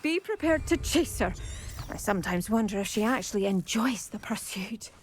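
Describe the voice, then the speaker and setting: A woman's voice: mid-range voice, animated, moderate pace, a little hiss or hum, lightly acted. An actress playing a vain, chatty, fashion-minded woman in a video game, speaking with breezy, polite self-satisfaction. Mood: coveting, self-satisfied. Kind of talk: video game character dialogue.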